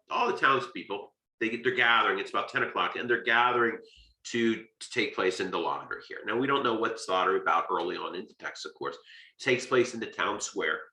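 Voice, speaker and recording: Guy teaching lit class, kinda low but bright forceful voice, chatty explainer style, says right? a lot. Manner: conversational, explanatory, checks in with "right?". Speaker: literature teacher. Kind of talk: informal lecture summarizing a short story. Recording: roomy, echoing sound.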